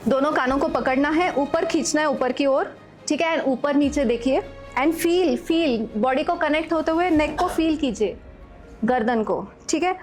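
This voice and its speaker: A woman in her forties, a wellness coach leading a live workshop, lively, questioning the audience and giving step-by-step instructions while mixing in English phrases. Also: sits high, a little slow, faint music behind. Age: 40s. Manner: mixes Hindi with English phrases. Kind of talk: live workshop talk to a group.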